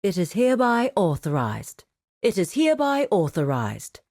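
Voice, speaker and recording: Composed cartel administrator, expressively pitched. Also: female voice, wildly swooping pitch, a little mellow, no echo, studio quality, exaggerated voice. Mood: approving, brisk. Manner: formal, measured, authoritative. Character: composed, businesslike female administrator of a crime cartel. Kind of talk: scripted video game character dialogue.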